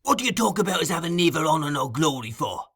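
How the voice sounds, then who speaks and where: A man puts on an old thief-master, cunning and coaxing, his voice pitched well above the reader's own. The tone is bright and cutting, and he flatters everyone with "my dear" while he schemes. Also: normal speed, creaky, exaggerated voice. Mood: angry. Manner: wheedling, fond of calling people my dear.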